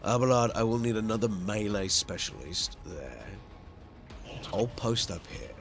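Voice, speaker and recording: A man's voice: on the low side, animated, unhurried, gravelly, soft background music. A man hosting a video game playthrough, reading story narration dramatically and commenting casually on play.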